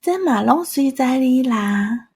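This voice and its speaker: Slow, husky old Taiwanese granny, acted by a woman. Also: sits high, expressive, darkish tone, heavily acted. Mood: coy. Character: gentle old country grandmother, practical, coyly affectionate. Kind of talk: radio drama acting.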